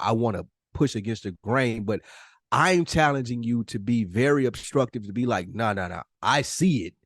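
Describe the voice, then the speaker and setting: A man talks on a podcast in a medium-pitched voice. He is candid and self-reflective, using loose slang and swearing freely. The sound is dry, with very little room in it. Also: fairly bright tone, dry recording. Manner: informal slangy talk with profanity. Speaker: podcast host. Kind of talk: casual, candid podcast conversation.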